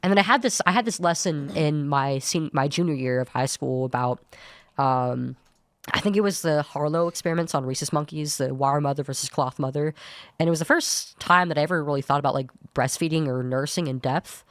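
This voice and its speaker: A young woman with a low voice that is hard to place as male or female, giving an earnest personal account as a podcast guest, often restarting her sentences. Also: a little fast, no echo. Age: young adult. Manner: earnest, searching, many restarts. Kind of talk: personal account in studio talk show.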